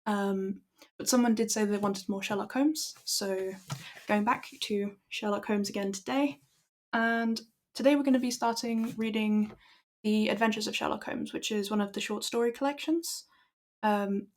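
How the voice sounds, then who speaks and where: A young Irish woman with a croaky voice, chatting casually to her stream and then reading a classic story aloud in a steady, measured way. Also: medium pitch. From Ireland. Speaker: livestream book reader.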